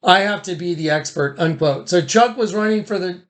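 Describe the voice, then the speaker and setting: A man's voice: sits high, moderate pace. A man hosting a recorded phone conversation, talking in a casual, informal and somewhat rambling way. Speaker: host recording a phone statement. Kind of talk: casual, conversational documenting talk.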